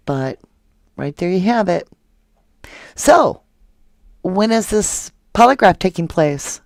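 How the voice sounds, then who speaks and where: A woman's voice: lowish voice, expressive, slow pace, dry recording. An indignant woman hosting a true crime stream, reading viewer comments and firing rhetorical questions. Her voice is close and dry, with no room sound around it. She talks casually and keeps pressing her point. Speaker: true crime channel host.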